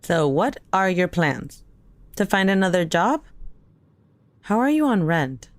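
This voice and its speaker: An actress playing a supportive, practical young best friend in an audio drama, with a reassuring, casual way of talking. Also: female voice, on the low side, sing-song, measured pace, a little hiss or hum. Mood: concerned, practical. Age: young adult.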